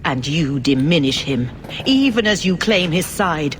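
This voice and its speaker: Stern, dignified cleric, fairly high-voiced. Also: male voice, lively intonation, big-room sound, slight background noise. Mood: grave, reproving. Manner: measured, formal. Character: stern, dignified religious authority. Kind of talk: fantasy video game acting.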